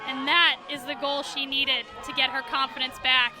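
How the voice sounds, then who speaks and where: A woman's voice: high voice, flat delivery, normal speed. A woman giving analytical sports commentary as a color commentator, explaining the play. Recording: loud crowd and music behind. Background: game crowd and music.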